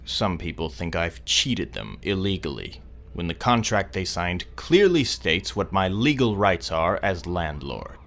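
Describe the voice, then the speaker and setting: A man playing an arrogant, defensive wealthy landlord in an audio drama, quick to take offence. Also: low voice, very animated, a bit bright, heavily acted. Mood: self-justifying. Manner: haughty, defensive, self-justifying. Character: arrogant, indignant male wealthy businessman, slippery landlord.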